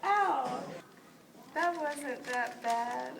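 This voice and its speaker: Woman acting out a high-voiced, theatrical puppet test subject. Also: expressive, drawn-out words, exaggerated voice. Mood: mildly pained. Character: compliant male test subject in puppet show, pained. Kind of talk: amateur puppet show acting. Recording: roomy, distant mic, clear noise.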